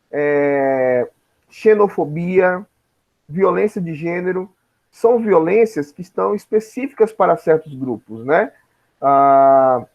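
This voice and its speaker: A man's voice: on the high side, a little mellow. A man with a Brazilian Portuguese accent giving an informal lecture in a didactic way, often checking that listeners follow. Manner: didactic, frequent tag questions checking understanding. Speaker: teacher, lecturer.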